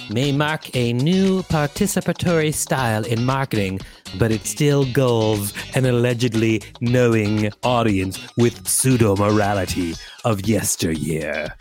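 A man co-hosting a podcast, reading an article aloud and adding casual, opinionated banter. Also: mid-range voice. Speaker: podcast co-host.

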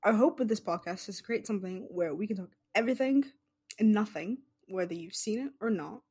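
A woman co-hosting a podcast, reading its prepared intro in a measured way. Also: mid-range voice, darkish tone, breathy edge. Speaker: podcast co-host.

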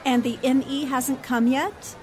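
An actress playing a polite, self-possessed and inquisitive newspaper reporter in a 1940s radio drama style. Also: female voice, high voice, fairly even intonation, a little slow, darkish tone. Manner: polite, crisply questioning. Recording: music and crowd murmur behind the voice.

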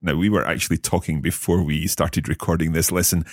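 A man hosting a language lesson, explaining words step by step and repeating phrases slowly. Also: sits low, little pitch movement, no room sound, studio quality. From Scotland. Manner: friendly, explains step by step, slow careful repetition of words.

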